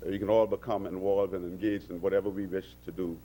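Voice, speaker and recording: A man's voice: deep voice, little pitch movement, quick pace, dark tone, a little hiss or hum, poor audio. A man from the Bahamas with a Bahamian accent, speaking as a minister in parliament, earnest and oratorical, with frequent restarts. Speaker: government minister addressing parliament. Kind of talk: parliamentary speech. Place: legislative chamber.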